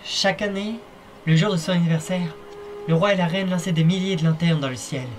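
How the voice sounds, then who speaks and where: A man's voice: sits high, a little fast, soft background music. A man narrating a fan-dubbed fairy tale in a playful, wry way, speaking straight to the viewer. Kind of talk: fan-dub storytelling narration.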